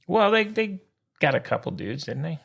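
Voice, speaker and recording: A man's voice: on the high side, big pitch swings, moderate pace, vocal fry, fairly bright tone, breathy edge. An American man co-hosting a sports podcast, chatting in an informal, buddy-to-buddy way with casual banter. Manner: informal, peppered with "man", "dude" and "sir".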